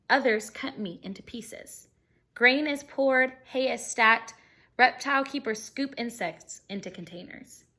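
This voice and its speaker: A woman's voice: on the high side, bright, forceful tone. A woman teacher reading a children's picture book aloud expressively, explaining things simply and putting questions to her young listeners.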